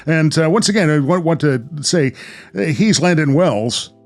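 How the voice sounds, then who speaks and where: A man's voice: on the high side, lively intonation, normal speed, faint music behind. An American man hosting a podcast, chatting casually and narrating. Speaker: podcast host.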